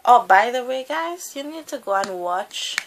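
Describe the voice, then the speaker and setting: A woman's voice: on the high side, animated, normal speed. A woman from Trinidad with a Trinidadian accent, chatting playfully with her nephew in a dim bedroom, picked up by a laptop mic across the room. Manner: playful, teasing, sing-song. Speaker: aunt of the vlogger. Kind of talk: casual family chat on a home vlog. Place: small dim bedroom, indoors. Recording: noisy home webcam recording.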